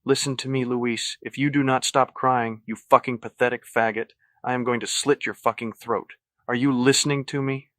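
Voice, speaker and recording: A man's voice: on the low side, expressive, fairly quick, fairly bright tone, dry recording, studio quality. A man voicing a vain, exasperated young man with a tense, controlled manner that tips into hissed, snarled menace. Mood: cold threat.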